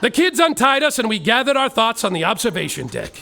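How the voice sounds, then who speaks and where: An actor playing a cocky, swaggering space detective who narrates his own story deadpan and pleads frantically, on a live theater stage. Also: extremely high pitch, brisk, gravelly, full character voice. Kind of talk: comic musical podcast narration. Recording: guitar music behind a live stage.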